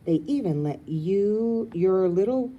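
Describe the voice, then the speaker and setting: A woman voicing a firm, exasperated mother who scolds with drawn-out emphasis in a storybook reading. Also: mid-range voice, lively intonation, narrow, tinny audio, pitched above the performer's own voice.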